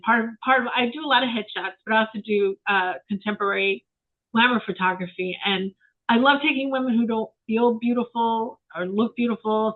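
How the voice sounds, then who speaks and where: A woman around sixty, a photographer guest, talking in a rambling, reflective way with many fillers over a remote call. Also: mid-range voice. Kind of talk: casual interview chat. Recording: tinny phone-like line.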